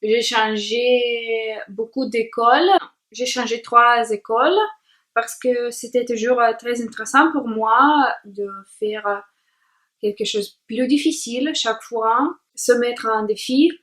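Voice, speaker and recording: Young woman, learner's vlog, slow and careful, slightly high voice. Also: fairly even intonation, a bit bright. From Latvia. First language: Russian. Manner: hesitant, many filler sounds while searching for words; careful, deliberate language-learner delivery. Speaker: vlogger. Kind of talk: self-introduction vlog.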